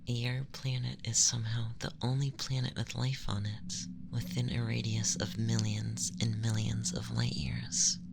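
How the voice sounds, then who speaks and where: An ASMR performer voicing a calm, condescending young male alien abductor in a breathy, often whispered, gentle and formal monologue. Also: medium pitch, measured pace, few pauses, heavy background noise. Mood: solemn, explanatory. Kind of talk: ASMR roleplay, scripted monologue.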